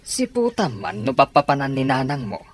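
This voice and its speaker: A man acting a gruff, suspicious, hard-drinking father who scolds his children in an Ilocano radio comedy drama. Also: medium pitch, big pitch swings, moderate pace. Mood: scolding.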